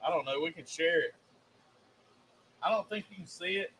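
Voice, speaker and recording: A man's voice: a little high, moderate pace, noisy background. A man from Mississippi with a Southern accent, co-hosting a casual chat show and telling folksy stories with plenty of laughter. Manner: folksy storyteller, laughs often. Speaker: co-host.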